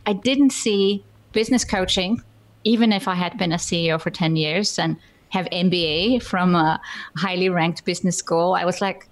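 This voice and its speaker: A woman's voice: on the low side, normal speed. A middle-aged woman from Iceland speaking English with an Icelandic accent. She is a guest on a podcast interview, telling her story in a plain, matter-of-fact way. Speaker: guest entrepreneur.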